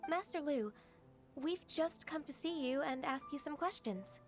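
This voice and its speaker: A woman's voice: high-pitched, expressive, a little slow. A woman voicing a polite, earnest young government secretary in a video game, speaking in a formal, composed way. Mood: polite, businesslike. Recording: studio voice over game music.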